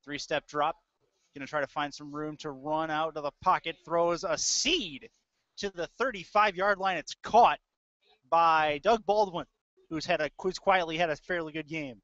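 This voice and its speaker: A man's voice: on the high side, normal speed, fairly bright tone, no echo, highs cut off. A man doing live play-by-play of a football game, describing the action in short clipped phrases. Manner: clipped running description of plays. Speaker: play-by-play sports announcer. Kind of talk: live American football commentary.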